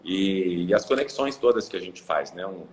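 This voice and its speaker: A man's voice: low voice, expressive, normal speed, slight background noise. A Brazilian man from Ribeirão Preto, talking casually as a guest on a live video call, rambling with many fillers and restarts. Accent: Brazilian Portuguese. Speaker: guest, coffee exporter.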